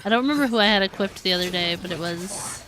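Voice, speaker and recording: A woman's voice: medium pitch, fast, fairly bright tone, slight background noise, rough recording. A woman game streamer giving chatty, informal, self-deprecating live commentary as she plays. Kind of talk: casual live gameplay commentary.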